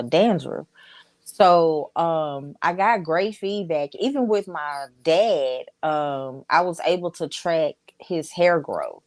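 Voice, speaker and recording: A woman's voice: deep voice, a little mellow, dry recording. A woman from Dallas with a Texas accent, a business owner chatting candidly as a podcast guest. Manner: candid, chatty, storytelling with fillers. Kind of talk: casual podcast interview.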